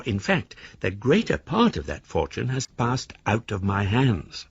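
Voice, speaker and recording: An actor playing a philosophical fugitive embezzler in a British radio drama, a mannered gentleman with a British accent reading his formal, ornate letters aloud. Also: on the low side, gravelly, slightly rough, dry recording, muffled recording, full character voice.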